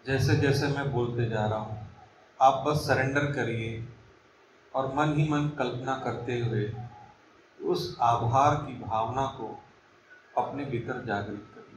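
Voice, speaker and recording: Male meditation guide, very unhurried, reverent and soothing; roomy, echoing sound with some background noise. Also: medium pitch, distant mic. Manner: reverent, soothing, deliberate with long pauses. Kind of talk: guided meditation in Hindi, soft instruction.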